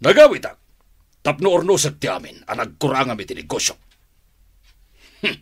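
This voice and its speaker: A man acting in a radio drama as a stern, domineering father who runs shady businesses, scolding and gruff. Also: medium pitch, big pitch swings, moderate pace.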